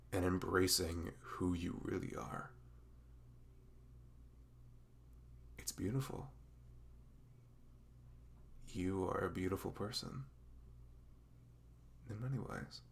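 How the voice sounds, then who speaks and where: A man acting a dorky, sentimental young best friend with a crush in an intimate ASMR roleplay, speaking haltingly in a rambling, self-conscious way with a gravelly creak. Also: sits low, exaggerated voice. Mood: sincere, tender. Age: young adult.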